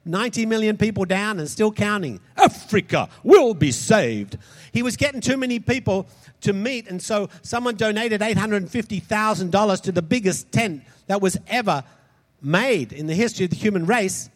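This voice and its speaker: A man preaching a sermon, impassioned, swinging between loud shouts and soft, quick asides. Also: high-pitched, lively intonation. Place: large hall converted into a church.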